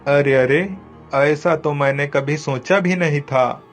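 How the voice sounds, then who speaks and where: A man playing a poor, timid farmer and devoted family man in an animated story, speaking earnestly. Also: a little high, slow pace, soft background music. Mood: earnest, surprised. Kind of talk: Hindi animated moral story acting.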